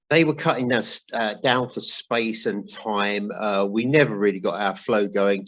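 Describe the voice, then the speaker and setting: A man with a fairly low voice hosts a relaxed football podcast. He speaks conversationally and tends to steer his points toward questions for the others. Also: highs cut off. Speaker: podcast host. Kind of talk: casual football discussion.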